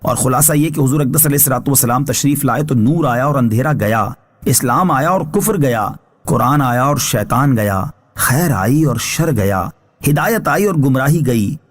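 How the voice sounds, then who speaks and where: A man giving a scripted religious narration as a scholar, explaining scripture in a measured, formal way. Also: mid-range voice, expressive. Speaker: religious scholar reading translation and commentary.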